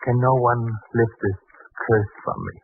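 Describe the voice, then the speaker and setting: An actor playing a troubled, doubting father in biblical times, grave, halting and earnest, in 1940s radio drama style. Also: mid-range voice, fairly even intonation. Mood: anguished, musing. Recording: muffled old 1940s radio broadcast, phone-like.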